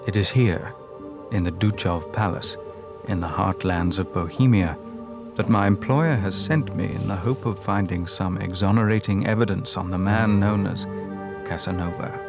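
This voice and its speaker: An actor playing a thoughtful investigator, narrating a dramatised documentary in a measured, reflective, formal way. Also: male voice, low voice. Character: thoughtful male investigator narrating his research into old memoirs. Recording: music under the voice.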